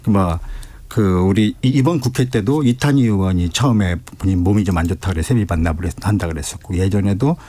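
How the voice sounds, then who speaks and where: The kind of quick, slightly raspy male insider you'd hear breaking things down on a morning radio politics panel. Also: sits low, mellow. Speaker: political aide, panel guest. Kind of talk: casual radio panel commentary, explaining. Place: radio studio.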